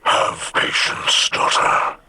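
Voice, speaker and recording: An actor playing a wise alien elder, a fatherly advisor giving measured, formal counsel in a video game. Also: background noise, close to an everyday voice. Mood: grave, measured. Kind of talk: video game character dialogue.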